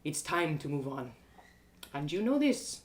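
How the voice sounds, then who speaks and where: A wise old village man from a storybook, acted out by a woman, pitched low and measured. He is gentle and fatherly, and he takes his time with each philosophical thought. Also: big pitch swings, slight background noise. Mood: calm, knowing.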